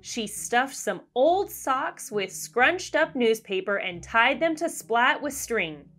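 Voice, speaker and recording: A woman reading a children's storybook aloud in an expressive, deliberate storyteller style. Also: a little high. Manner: animated, deliberate storyteller delivery. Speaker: children's read-aloud host. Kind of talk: expressive scripted storybook narration for kids.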